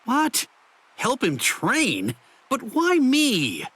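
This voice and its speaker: An actor playing a brash, hot-tempered young swordsman in an anime game dub, talking bluntly and gruffly. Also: very high-pitched, huge pitch swings, very slow, fairly bright tone, no echo, exaggerated voice. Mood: irritated, indignant.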